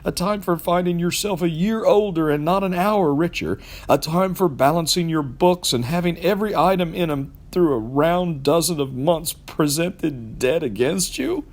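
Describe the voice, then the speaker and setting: A man's voice: a little high, normal speed, few pauses, pitched above the performer's own voice. A man voicing a stern, irritable old miser from a storybook, with a growling, indignant and curt delivery.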